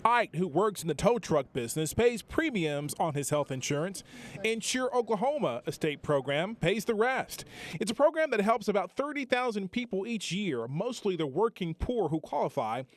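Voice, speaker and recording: American guy reporter reading a tv news package, high voice, really up and down. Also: very animated, normal speed, dry recording. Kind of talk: scripted news report narration.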